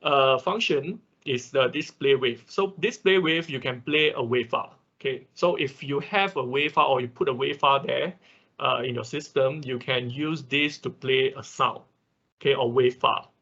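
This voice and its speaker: A man's voice: mid-range voice, moderate pace, pushed and bright, muffled recording. A man giving an informal step-by-step tutorial as instructor of an online class, often checking in as he explains. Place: online class, screen-share voice-over.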